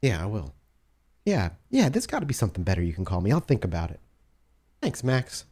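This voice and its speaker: A man voicing a timid, vulnerable young girl in a tabletop game, speaking haltingly and stammering with anxious gratitude. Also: lowish voice, huge pitch swings, slightly rough, heavily acted. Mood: hesitant, tender. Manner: halting, stammering, soft-hearted.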